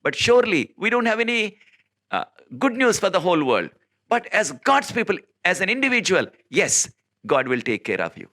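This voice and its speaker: A man from South India whose first language is Telugu, preaching in an earnest, emphatic way, with many filler pauses and sudden rises in pitch. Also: sing-song, sharp tone. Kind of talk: informal recorded sermon.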